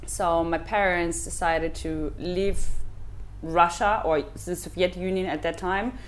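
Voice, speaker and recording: Podcast guest, woman in her thirties, second-language English, somewhat low voice, hesitant. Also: fairly even intonation, measured pace, a little hiss or hum. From Ukraine, raised in Germany. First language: not English. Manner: hesitant, searching for English words, with many fillers. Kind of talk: casual interview chat.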